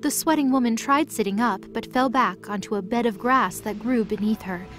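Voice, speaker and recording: A woman's voice: fairly high pitch, moderate pace, fairly bright tone, faint music behind. A woman narrator reading a scripted fantasy story.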